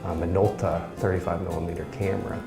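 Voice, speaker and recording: A soft-spoken young man answering interview questions in a relaxed, casual way. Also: low-pitched, fast talker, reverberant, music in the background. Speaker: student interviewee.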